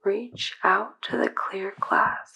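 An actress playing a haunted young woman murmuring dreamlike visions in a breathy whisper, in an audio drama. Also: mid-range voice, steady tone, heavily acted, low-quality recording. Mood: trancelike. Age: young adult. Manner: hushed, slow, trancelike. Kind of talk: whispered inner monologue.

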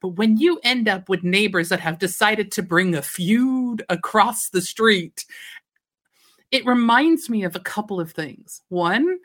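A woman in a casual chat, telling an anecdote in an animated, amused way. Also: on the low side.

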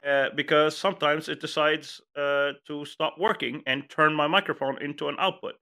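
A man with a Lebanese accent chats on a tech podcast in a bright, forward voice that sits a little high. He talks in animated, halting bursts, full of restarts and fillers. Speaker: podcast co-host. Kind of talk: casual tech podcast chat.

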